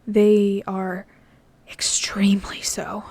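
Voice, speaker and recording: Lady reading as earnest young half-ghost guy, slow careful confessing, storybook style, dry sound. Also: medium pitch, completely flat delivery, darkish tone, no room sound. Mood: earnest, explanatory.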